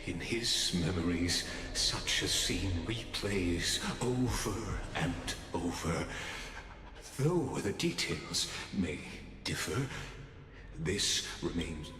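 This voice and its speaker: Very slow, deep, theatrical enigmatic man. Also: expressive. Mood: knowing, musing. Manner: grandiloquent, dramatic, deliberate phrasing. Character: enigmatic, theatrical male guide, courtly and knowing. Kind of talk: theatrical scripted game dialogue, reciting verse. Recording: echoey long reverb over music.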